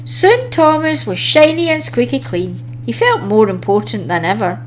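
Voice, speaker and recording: Woman, children's read-aloud, expressive narration, swooping pitch, noisy recording. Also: normal speed. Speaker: storyteller reading children's books aloud.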